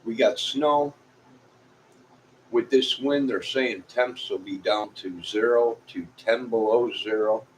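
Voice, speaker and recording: A man's voice: on the low side, poor audio. A middle-aged or older man with an American Midwestern accent, chatting to viewers in a folksy, meandering, casual way. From Illinois, United States. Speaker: vlogger. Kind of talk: rambling casual chat to viewers. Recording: clear background noise.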